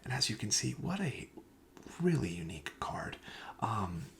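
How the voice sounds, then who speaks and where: Man as a reassuring shop clerk, soft-spoken ASMR, fairly low pitch, breathy half-whisper, casual halting patter, faint noise behind. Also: very animated, exaggerated voice. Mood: calm, explanatory. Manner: hesitant, casual, accommodating salesman patter. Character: friendly, reassuring male card shop clerk.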